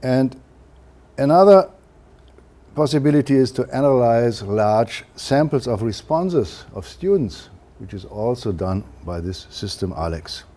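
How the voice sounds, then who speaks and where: A man with a German accent, a native German speaker giving a university lecture in a careful, halting way with frequent fillers. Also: mid-range voice, lively intonation, warm tone. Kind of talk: academic lecture.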